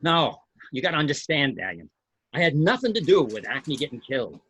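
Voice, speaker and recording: A man's voice: medium pitch, very animated, brisk, a bit bright, slightly rough. A man playing a nervous cartoon studio boss who pleads urgently in an amateur script read. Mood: desperate pleading.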